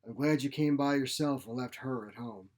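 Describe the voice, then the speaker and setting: A man voicing a feisty, sharp-tongued elderly mother in a short story, blunt, folksy and grumbling. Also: medium pitch, moderate pace, natural voice. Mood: blunt, disapproving. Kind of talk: short story character reading.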